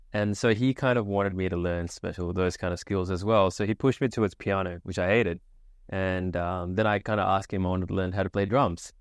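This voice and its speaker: A man's voice: deep voice, clean tone, no room sound, clean studio sound. A young man from Sri Lanka, a guest on an interview podcast, telling his story in an easygoing, casual way with plenty of fillers. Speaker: guest, agency founder. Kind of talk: relaxed interview answers.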